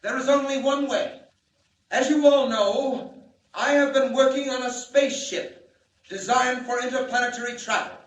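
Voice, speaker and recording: Grave alien scientist, very high pitch, urgent, declamatory, 1940s radio drama, heavily echoing old broadcast. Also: male voice, normal speed, highs cut off. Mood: earnest. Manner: solemn, declamatory, earnest. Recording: echoey, distant 1940 radio broadcast.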